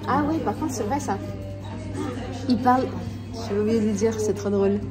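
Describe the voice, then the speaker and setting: A woman's voice: medium pitch, moderate pace, loud music behind the voice. A woman from France, talking casually to her husband while filming on her phone. She laughs often and restarts her sentences a lot. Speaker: vlogger. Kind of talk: casual chat. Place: home in Switzerland. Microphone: phone held in hand.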